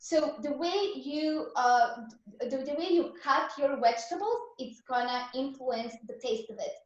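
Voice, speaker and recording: Serbian-accented woman, high voice, cooking instructor, very echoey dull sound. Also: a little slow. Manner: earnest, encouraging, gives step-by-step instructions. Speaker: raw vegan chef teaching a cooking class.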